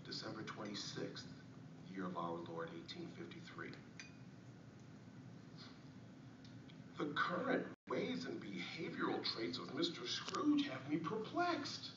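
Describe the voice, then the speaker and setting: A man playing a poor, humble Victorian father and clerk, reading his diary aloud in an earnest, theatrical way with deliberate pauses. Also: medium pitch, wildly swooping pitch. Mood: astonished, joyful. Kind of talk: dramatic diary-style reading of an essay. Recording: echoey, noisy, muffled recording from far off.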